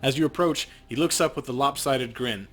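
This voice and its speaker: A man's voice: medium pitch, fairly quick, fairly bright tone. A man co-hosting a let's play, reading game text as narration and adding casual comments. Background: game music.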